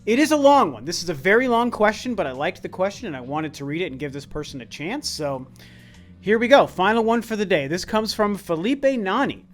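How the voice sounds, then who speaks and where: A high-pitched man of about forty talks through viewer questions in a relaxed, earnest way. His voice sounds worn and tired, and he often restarts his sentences. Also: a little fast. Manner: earnest, conversational, with frequent restarts. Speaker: YouTube channel host. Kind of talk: casual Q&A chat.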